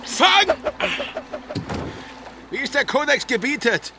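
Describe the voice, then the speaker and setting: Very high-voiced pirate quartermaster. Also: male voice, big pitch swings, takes it slowly, background noise, full character voice. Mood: scornful. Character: firm, reasoning male pirate quartermaster, leading mutineer. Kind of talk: radio play acting.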